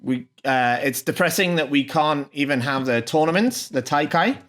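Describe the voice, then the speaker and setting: Chatty man with halting delivery. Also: fairly high pitch, expressive, a bit bright. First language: not Japanese. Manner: hesitant, many ums and uhs, restarts. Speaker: channel host translating a video message. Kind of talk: informal off-the-cuff video commentary and live translation.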